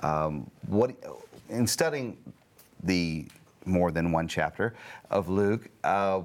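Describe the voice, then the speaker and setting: A man's voice: low voice, expressive, slow pace. A man hosting a casual Bible study discussion, playful and joking as he asks questions. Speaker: host.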